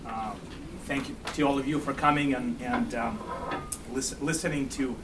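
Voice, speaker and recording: A man in his forties with a Russian-Ukrainian accent, giving a university lecture in a halting way, full of fillers and repeats. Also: medium pitch, steady tone. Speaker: guest lecturer. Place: lecture room. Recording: distant mic, room noise.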